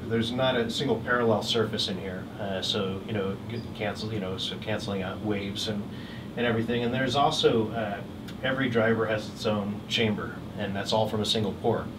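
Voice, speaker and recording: A middle-aged man casually presenting products as a dealer, with a halting, hesitant delivery. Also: on the low side, fairly even intonation. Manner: halting, hesitant delivery with frequent long pauses. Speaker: hi-fi dealer exhibiting at a trade show. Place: hotel room at an audio show, indoors. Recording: distant mic, clearly noisy room.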